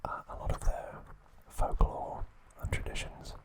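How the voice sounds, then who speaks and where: A man speaks entirely in a breathy whisper, in the manner of an ASMR host. He goes very slowly, in short hushed phrases with long pauses between them. The delivery is halting and informal, close to the mic.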